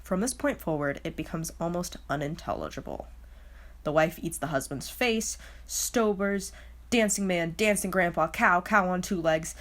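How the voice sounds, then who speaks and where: A woman with a slightly low voice hosts a video recap. She reads a casual script in a wry way, sounding openly baffled by what she presents. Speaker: host of a video explainer.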